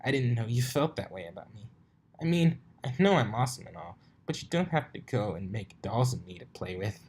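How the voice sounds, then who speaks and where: A man's voice: mid-range voice, sing-song, brisk, a little mellow, husky. A man voicing a brash, teasing young female pegasus pony from a cartoon story, cocky and easily rattled. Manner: cocky, mocking, exclamatory.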